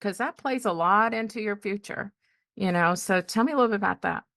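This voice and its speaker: A woman's voice: medium pitch, fairly quick, no echo, studio quality. A woman hosting a podcast as a life coach, encouraging and curious with her guest. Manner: warm, encouraging, lots of backchannel. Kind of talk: casual interview chat.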